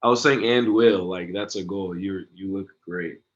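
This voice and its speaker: Very deep-voiced young man, dull sound. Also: normal speed. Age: 20s. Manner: laid-back, many ums and likes. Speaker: guest, singer. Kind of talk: casual interview.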